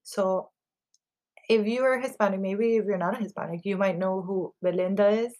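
A woman's voice: mid-range voice, fairly even intonation, moderate pace, darkish tone. Chatty thirties woman gossip podcaster. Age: 30s. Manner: chatty, full of "like" fillers and casual swearing. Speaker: podcast host. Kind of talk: casual gossip podcast monologue.

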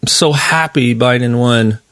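A man's voice: mid-range voice, measured pace, a bit bright, no room sound, clean studio sound. A man chatting casually and agreeably as an interviewer, chiming in along the way. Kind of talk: casual chat.

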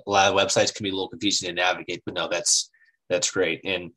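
A man's voice: sits low, level tone, fast talker, sharp tone, low-quality recording. A man hosting a podcast interview, speaking casually and affirmingly with many "you know"s. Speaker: podcast host.

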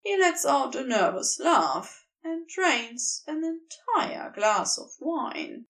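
A woman narrating a story from a script in an expressive storytelling style. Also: high voice, takes it slowly, distant mic. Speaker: podfic narrator.

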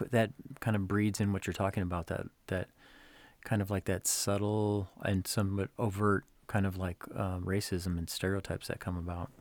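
A man's voice: lowish voice, no echo. A man interviewing a guest, asking rambling questions that are full of hedges. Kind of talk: conversational interview.

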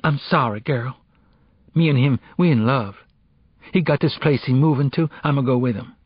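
A man's voice: medium pitch, moderate pace, breathy edge, no room sound, lo-fi sound, pitched above the performer's own voice, lightly acted. A man voicing an evasive, lovestruck teenage girl in an audiobook, slangy, reluctant and defensive as she confesses. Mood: guilty, pleading.

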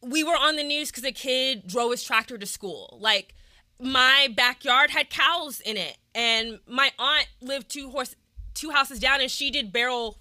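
A young woman from Texas hosts a laid-back podcast conversation, talking frankly and chattily with plenty of fillers. Her voice is very bright and edged, sitting a little high, and the sound is close and dry. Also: measured pace, no echo. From Texas, USA. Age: young adult. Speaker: podcast host. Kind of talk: casual podcast chat.

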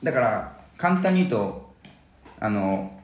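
A man's voice: lowish voice, very animated, slow pace. A man hosting a podcast in casual chat, often chiming in with agreement. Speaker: podcast host. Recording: roomy, echoey sound.

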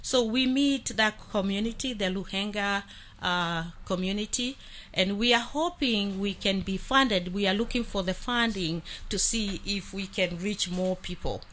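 A woman from Kenya, whose first language is Swahili, speaking as a guest on a radio talk show about her outreach work, earnest and halting with frequent fillers. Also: mid-range voice, a bit bright. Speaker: outreach worker, radio ministry host.

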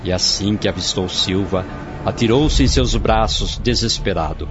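Man, low voice, Brazilian accent, formal dramatic radio play narration, soft music behind, dull sound. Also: normal speed.

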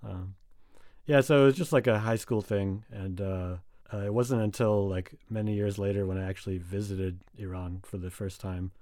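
A man's voice: lowish voice, steady tone, normal speed, mellow, dry recording, studio quality. A young man from the United States, a guest in a casual conversation, rambling with many fillers and restarts.

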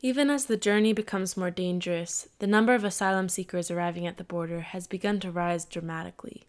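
A woman narrating a scripted documentary piece in a measured, even way. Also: fairly low pitch. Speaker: narrator, host.

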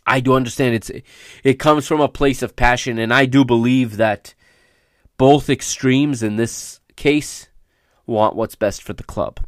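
A male podcast host gives unscripted sports commentary in a mid-pitched voice that is a little raspy from a recent illness. He talks emphatically but haltingly, often pausing to check in with the listener. The sound is dry, with no room echo. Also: steady tone. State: slightly raspy voice recovering from illness.

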